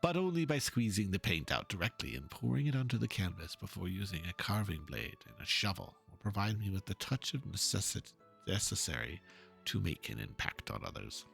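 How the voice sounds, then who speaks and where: A man's voice: sits low, sing-song, a little fast, gravelly, fairly bright tone, soft background music, pitched below the performer's own voice, heavily acted. A man voicing an earnest, fretful painter from a video game, speaking politely in formal, wordy lines. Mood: earnest, grateful. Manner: formal, ponderous. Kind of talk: dramatic reading of game dialogue.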